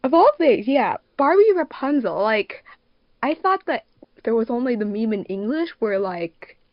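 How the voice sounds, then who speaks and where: A woman's voice: a little high, sing-song, a little slow, dry recording. Dry, muffled woman in casual podcast chat. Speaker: podcast co-host, fandub production crew member and editor.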